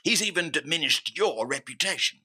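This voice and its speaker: Guy doing a stuffy upper-class boss in an old radio play, raspy, animated swooping pitch, demanding and impatient. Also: moderate pace, heavily acted. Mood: indignant, demanding. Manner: pompous, blustering, demanding. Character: pompous, impatient upper-class male senior customs official. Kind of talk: old-time radio drama acting.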